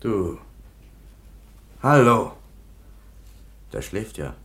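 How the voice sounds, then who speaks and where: A man's voice: on the low side, very slow, heavily acted. An actor playing a perplexed, somewhat dismissive police commissioner giving his report in a radio play. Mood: dismissive, unsettled. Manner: animated storytelling, dismissive.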